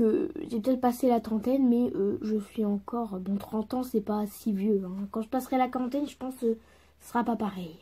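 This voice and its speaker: A woman acts out a modest, laid-back pop singer in their thirties, giving a role-played interview. The voice is very mellow and murmuring, with slow, halting phrases that trail off. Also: fairly high pitch, slightly rough. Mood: casual, defensive. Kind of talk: improvised interview role-play.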